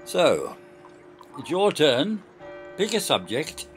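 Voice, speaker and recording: A man's voice: on the high side, wildly swooping pitch, slow pace, faint music behind, exaggerated voice. A man acting in an amateur radio play as a proud, stubborn general, speaking in a formal, pedantic and emphatic way. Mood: dry, formal.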